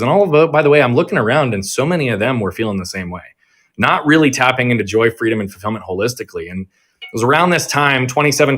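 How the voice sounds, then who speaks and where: A man in his thirties, a performance coach, telling his life story as a guest in an energetic, earnest and self-reflective way over a social audio app. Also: medium pitch. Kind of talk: conversational storytelling interview. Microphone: remote audio on a social audio app.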